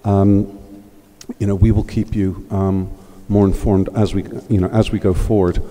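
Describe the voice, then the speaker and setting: Treasurer type, deep dark male voice with a flat delivery, halting with fillers, in a hall with long reverb. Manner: hesitant, many fillers, measured. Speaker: treasurer answering questions. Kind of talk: formal financial report at a meeting.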